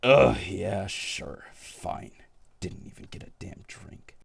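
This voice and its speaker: A man playing an excitable, stammering young protector with a gravelly voice in an audio drama. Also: lowish voice, slow, no room sound, exaggerated voice. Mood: grumbling.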